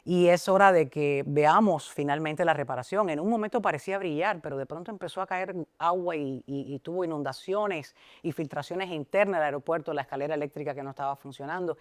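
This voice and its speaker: Chatty woman hosting an interview. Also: low voice. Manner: fluent, chatty and quick to prompt. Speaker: talk show host. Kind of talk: interviewing a guest.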